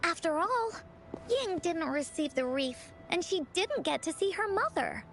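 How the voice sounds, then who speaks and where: A woman voicing a spirited, imaginative little girl storyteller in a video game, with a bubbly, childlike and expressive delivery. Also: very high-pitched, unhurried, soft background music, exaggerated voice. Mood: thoughtful, earnest.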